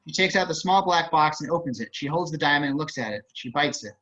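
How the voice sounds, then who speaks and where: A man's voice: a little high, expressive, fast, bright, forceful tone. A man reading scripted stage directions as narrator of an amateur table read on a dull-sounding video call.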